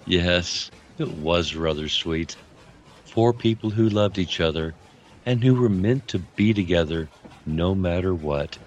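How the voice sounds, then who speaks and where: Actor as slow, low-voiced, wistful mystery man. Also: a little mellow, slight background noise. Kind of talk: radio play acting.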